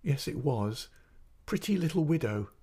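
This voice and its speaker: A man's voice: mid-range voice, animated, normal speed, dark tone, slightly rough, pitched above the performer's own voice. A man voicing an earnest, insistent small boy.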